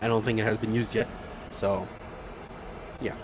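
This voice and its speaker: Man vlogging over loud, muffled cabin noise. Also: fairly low pitch, little pitch movement, normal speed. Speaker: travel vlogger reviewing a flight. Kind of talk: casual first-person review commentary. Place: inside an airliner cabin in flight.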